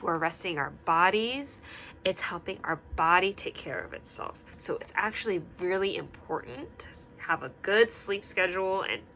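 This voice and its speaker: A young woman teacher reading a picture book aloud and asking the children gentle questions, sometimes dropping to a whisper. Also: on the low side, slight background noise, low-quality recording. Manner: drops to a whisper at quiet parts.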